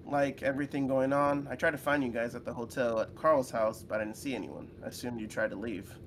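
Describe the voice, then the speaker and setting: Guy doing a blunt lizard dude from a visual novel, curt, medium pitch, bit of noise behind. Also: fairly even intonation, fairly quick, lightly acted. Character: blunt, gruff male lizard friend in a game. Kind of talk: voice-acting visual novel dialogue.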